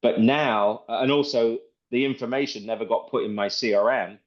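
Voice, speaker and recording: Male presenter, mid-pitched, explanatory and repetitive, informal sales demo, dull online call sound. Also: fairly even intonation, normal speed. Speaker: presenter demonstrating software.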